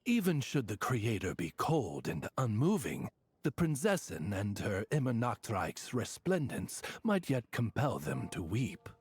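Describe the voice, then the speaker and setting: A very husky male raven familiar from a video game. He speaks with courtly deference and formal phrasing, his pitch moving expressively. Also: huge pitch swings, a little slow, no room sound, exaggerated voice. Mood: courteous, measured. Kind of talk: video game character dialogue.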